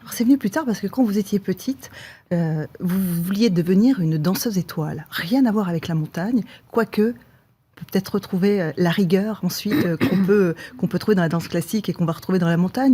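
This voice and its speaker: A woman's voice: lowish voice, brisk, mellow, breathy edge. A woman hosting a podcast interview, asking long questions with frequent hesitations and restarts. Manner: hesitant, stumbling over words while asking. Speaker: podcast host.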